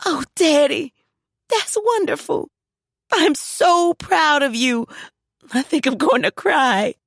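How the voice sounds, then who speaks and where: A woman voicing an excitable grown daughter who gushes and squeals on the phone. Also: sits high, slow, dry recording, clean studio sound, pitched above the performer's own voice, exaggerated voice. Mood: gushing. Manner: squealing, teasing, emotional.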